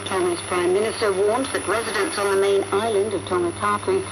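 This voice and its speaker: Creaky-voiced woman newsreader through heavy shortwave noise. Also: mid-range voice, steady tone, brisk. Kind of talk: formal scripted news bulletin. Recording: noisy shortwave radio broadcast.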